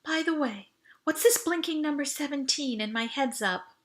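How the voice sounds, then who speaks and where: A woman voicing an anxious, excitable, panicky girl in an audiobook story, breathy and full of questions. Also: high voice, moderate pace, pitched above the performer's own voice, lightly acted. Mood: uneasy, curious. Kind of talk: audiobook character voice.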